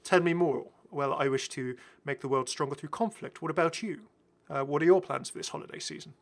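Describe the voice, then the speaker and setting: A man's voice: fairly high pitch, brisk, creaky. A British man voicing a smug, refined game villain making mock-polite small talk.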